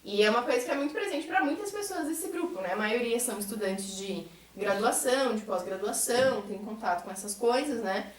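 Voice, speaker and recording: A woman's voice: a little high, brisk, few pauses, slight background noise. A woman with a Brazilian accent speaking earnestly and articulately in a reflective group conversation, as a member of a theatre troupe. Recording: echoey room, distant mic.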